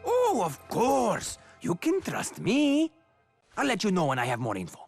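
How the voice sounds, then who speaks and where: High, squawky talking bird commentator. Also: male voice, huge pitch swings, measured pace, gravelly, dry recording, soft background music, full character voice. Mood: conspiratorial. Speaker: race commentator. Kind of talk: video game cutscene acting.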